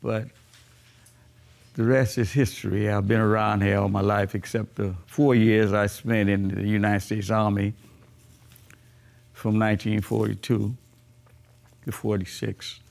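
A man in his eighties, born in the Bahamas, telling his life story slowly and reflectively in an oral history interview. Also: on the low side, level tone, gravelly, darkish tone. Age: 80s.

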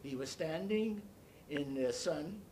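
Older man reminiscing, noisy recording. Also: animated. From Bahamas. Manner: storytelling, halting, searching for words. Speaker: interviewee. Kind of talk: oral history reminiscence. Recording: clearly noisy, low rumble behind.

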